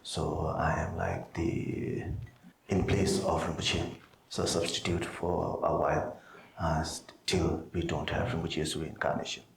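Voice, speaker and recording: Deep, flat-voiced monk, Tibetan native speaker. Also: male voice, gravelly. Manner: halting, many pauses and fillers. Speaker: monastery head, Buddhist teacher. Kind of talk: opening remarks before a teaching. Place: monastery hall. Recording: roomy hall, faint background noise.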